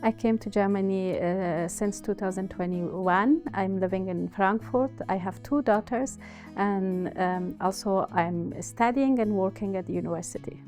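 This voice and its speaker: Woman with Afghan accent, halting speech. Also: mid-range voice, darkish tone, soft background music. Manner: hesitant, halting phrasing in non-native English. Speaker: interviewee, university researcher and women's refugee supporter. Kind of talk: personal account in an interview.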